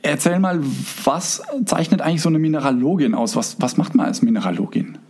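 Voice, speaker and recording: A man's voice: a little high, lively intonation, a little fast, a little mellow. A man interviewing a guest, summarizing her story and prompting her with questions. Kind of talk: conversational interview.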